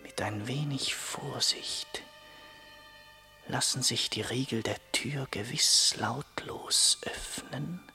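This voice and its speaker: An actor playing a poor, brooding young surgeon in a German radio play, speaking in a hushed, halting way as he muses to himself. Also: medium pitch, huge pitch swings, in a whisper, faint music behind, exaggerated voice. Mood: tense scheming.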